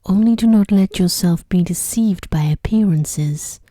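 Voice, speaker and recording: A woman voicing a stately, kindly lady with a calm, whispery voice in an ASMR storybook reading. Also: medium pitch, a little slow, no room sound, clean studio sound, natural voice. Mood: consoling. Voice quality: soft, whispery. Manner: calm, consoling.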